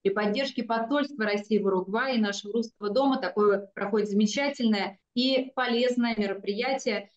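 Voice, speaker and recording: A middle-aged woman giving a formal welcome speech over a video call, reading each passage in two languages. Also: medium pitch. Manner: reads each passage twice, first one language then the other. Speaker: cultural center representative. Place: indoor room, video call. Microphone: video call webcam. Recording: echoey, dull video call audio.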